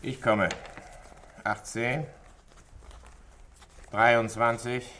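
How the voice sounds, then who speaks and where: A man's voice: medium pitch, steady tone, drawn-out words, pushed and bright. An actor playing a grumbling, mocking man at cards in a 1960s German radio play. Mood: mocking, grumbly. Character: grumbling, mocking male card player. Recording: faint noise and clicks.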